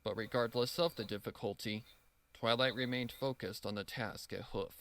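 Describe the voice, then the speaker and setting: A man's voice: medium pitch, fairly even intonation, normal speed, a bit bright, no echo. A man reading a scripted story as narrator, with a solemn, dramatic delivery. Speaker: narrator reading fan fiction.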